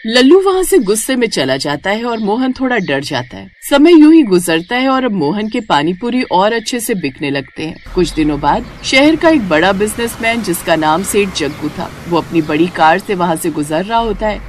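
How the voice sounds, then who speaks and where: Woman narrator with lively intonation. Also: medium pitch, normal speed, hardly any pauses, slight background noise. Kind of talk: scripted moral story narration.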